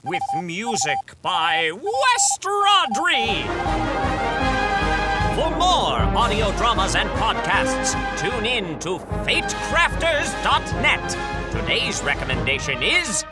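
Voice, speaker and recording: A man's voice: high voice, huge pitch swings, slow pace. A man announcing credits as a theatrical, formal old-time radio announcer, reading from a script. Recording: loud music under the voice. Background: loud music.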